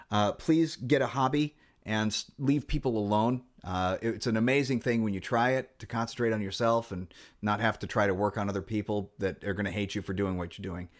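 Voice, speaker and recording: A middle-aged man vlogging casually about his guitar channel, rambling and wry, with many fillers and restarts. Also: fairly low pitch.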